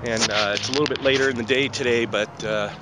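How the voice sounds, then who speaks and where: A man's voice: medium pitch, fairly even intonation, quick pace, background noise. A man in his forties vlogging casually at a film set in a bowling alley, talking upbeat and chatty into a handheld camera. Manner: chatty, upbeat, fond of "pretty cool". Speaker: vlogger filming behind the scenes. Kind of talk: casual handheld vlog narration. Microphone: camera held at arm's length.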